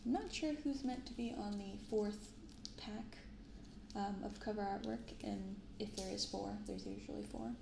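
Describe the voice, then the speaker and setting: A woman in her twenties speaking softly and gently in a casual, unhurried ASMR style as she hosts an unboxing. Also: mid-range voice, animated. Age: 20s. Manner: soft-spoken, gentle, unhurried. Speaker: host of a card-opening ASMR channel. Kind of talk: soft-spoken ASMR, casual unboxing chat. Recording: roomy binaural recording with clear background noise.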